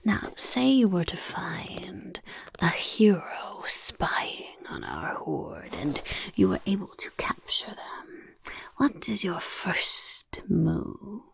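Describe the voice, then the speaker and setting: Woman doing a sly goblin overlord, whispered, gravelly, slow, swooping pitch; soft-spoken ASMR roleplay. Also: wildly swooping pitch, heavily acted. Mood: scheming. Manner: whispery, speaks of self in third person. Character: goblin overlord of a chaos horde, sly and self-important. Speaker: interviewer.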